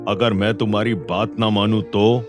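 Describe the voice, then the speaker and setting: Actor as a low-voiced, ageing martial arts master. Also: male voice, little pitch movement, moderate pace, music in the background, lightly acted. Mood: probing. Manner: authoritative, commanding. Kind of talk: Hindi audio drama acting.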